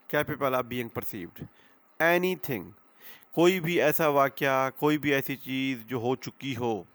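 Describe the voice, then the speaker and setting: A man with a Pakistani accent speaks in a mid-pitched voice, delivering an instructional lecture. He explains with simple examples, repeats his key phrases for emphasis and drops English terms into his sentences. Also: a bit bright. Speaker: advocate giving law lectures.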